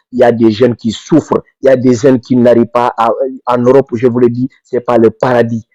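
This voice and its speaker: A man's voice: mid-range voice, warm tone, no room sound. Fervent man, dull lo-fi sound. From Senegal. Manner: fervent, repetitive, rising to emphatic outbursts. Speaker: pan-African activist speaker. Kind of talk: impassioned political speech. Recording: dull dry lo-fi recording.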